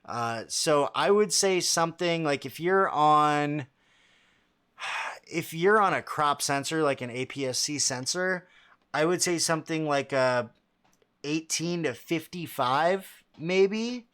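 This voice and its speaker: A young man with a fairly low, bassy voice, hosting a casual livestream and answering chat questions in a halting, filler-heavy way. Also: fairly bright tone, smooth. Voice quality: fairly low voice with a lot of bass.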